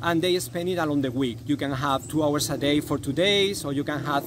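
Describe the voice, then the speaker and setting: A man's voice: a little high, fairly quick, background noise. A man from Spain, a native Spanish speaker, answering casually in an interview with a Spanish accent, hesitantly searching for words. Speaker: Spanish teacher, interview guest.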